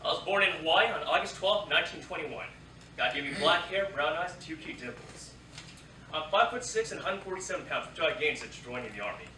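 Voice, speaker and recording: An earnest young soldier acted by a man in a staged letter reading. The voice is forceful and slightly high, set back from the mic in an echoey hall with faint noise. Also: expressive, quick pace, recorded from a distance. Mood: earnest, self-describing. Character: young Hawaiian-born Japanese-American soldier, shy, earnest.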